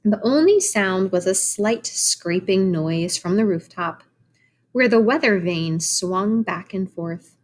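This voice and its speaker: A woman reading a children's book aloud like a teacher, animated and explanatory, with asides to young listeners. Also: mid-range voice, takes it slowly. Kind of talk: expressive storybook read-aloud with asides to children.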